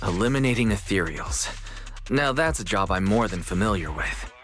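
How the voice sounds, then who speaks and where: A man's voice: on the low side, animated, normal speed, fairly bright tone, faint music behind. A man voicing a seasoned, unfazed investigator in game story dialogue, wry and laid-back. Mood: wry, curious. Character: dry, seasoned male investigator, confident and unfazed.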